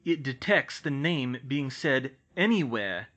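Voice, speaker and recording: A calm, knowledgeable young wizard voiced by a man who explains things in a steady, bright, lively voice. He sounds patient and matter-of-fact. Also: medium pitch, slow pace, dull audio. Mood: explaining.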